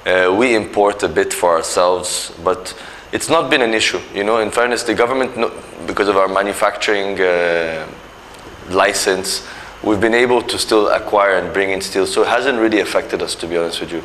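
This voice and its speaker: A man's voice: low voice, moderate pace, fairly bright tone, slight background noise. A man from Nigeria, a company executive speaking earnestly in an interview, with many fillers and restarts. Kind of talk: corporate interview talk.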